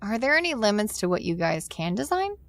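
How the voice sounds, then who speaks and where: An actress playing an awestruck, curious newcomer in a sci-fi audio drama, speaking in a hesitant, conversational way. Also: female voice, medium pitch, very animated, a little fast.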